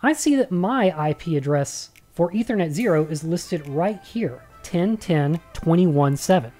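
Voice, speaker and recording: A man's voice: a little high, lively intonation, measured pace, darkish tone, faint music behind. A man in his thirties with an American accent, explaining a tutorial in a conversational, instructive and emphatic way into a close studio mic. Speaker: instructor and video host. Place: small home studio room. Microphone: close studio mic on boom arm.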